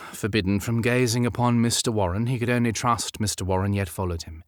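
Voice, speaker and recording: Male audiobook narrator for period romance; lowish pitch, measured expressive delivery, very dry studio sound. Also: no echo. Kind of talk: scripted literary narration of a historical romance.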